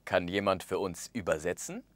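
A man in his thirties presenting a language course in a studio, speaking with expressive gestures and acting out the example phrases. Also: deep voice, normal speed, dry recording. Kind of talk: scripted lesson narration. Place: studio with orange backdrop.

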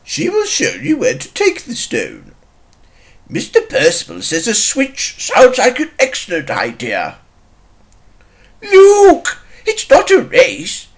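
A man's voice: high-pitched, wildly swooping pitch, a little slow, a bit bright, exaggerated voice. A man voicing a genial, eccentric older earl from a children's cartoon, kindly and encouraging.

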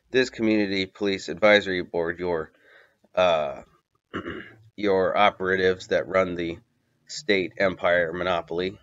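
Low, halting man with a muffled mic. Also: fairly bright tone, no room sound. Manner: halting, many fillers, listing at length. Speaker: commentator. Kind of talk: unscripted video essay narration.

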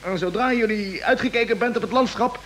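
A hearty captain of a space expedition, his voice pitched high and full of eagerness. It is old radio acting, with faint hiss. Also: brisk, poor audio. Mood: cheerful, eager. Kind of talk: vintage radio drama acting.

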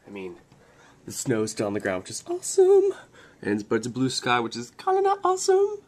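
A man's voice: mid-range voice, wildly swooping pitch, fairly quick, darkish tone, a little hiss or hum. A young man chatting casually as he films a handheld vlog tour through a basement and a snowy street at night, joking along the way. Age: young adult. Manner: chatty, jokey, enthusiastic narration. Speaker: vlogger. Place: house basement and outdoors at night. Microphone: handheld camera at arm's length.

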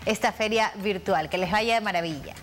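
A woman's voice: mid-range voice, brisk. A young woman, presenting and interviewing in a polished broadcast style on a lapel mic in a television studio. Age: young adult.

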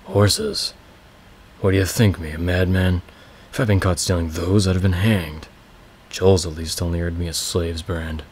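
A man voicing a talkative, raspy male slave with a scraggly beard who boasts about stealing livestock, in a low, flat read. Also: moderate pace, slight background noise, natural voice. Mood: prodding. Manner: chatty, prodding, amused.

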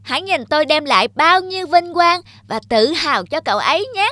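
A woman's voice: high-pitched, animated, fairly quick, pushed and bright, dry recording, clean studio sound. A woman voicing an arrogant young male talking diploma in a cartoon, smug and taunting. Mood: boastful. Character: boastful, arrogant young male diploma, mocking his elders. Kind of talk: cartoon dubbing.

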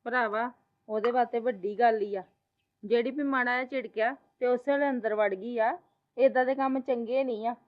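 A woman's voice: on the high side, steady tone, normal speed, lightly acted. A woman playing a sensible elder sister-in-law, patiently giving advice. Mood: advising. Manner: advising, explaining patiently. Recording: muffled lo-fi.

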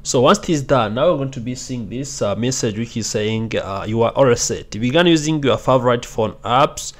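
A man giving a screen-recorded software walkthrough as a tech tutorial host, talking in a halting way with many fillers and repeated phrases. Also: mid-range voice.

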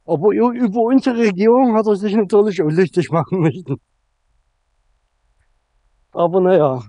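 A man's voice: high-pitched, warm tone, no echo. Raised-voice man vlogging outdoors. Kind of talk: casual outdoor vlog talk. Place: open grassy field outdoors. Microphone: camera at arm's length.

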